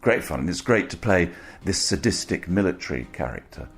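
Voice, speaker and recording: Deep-voiced thoughtful actor. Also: male voice, sing-song, brisk, vocal fry, soft background music. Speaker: actor being interviewed. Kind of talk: casual behind-the-scenes interview.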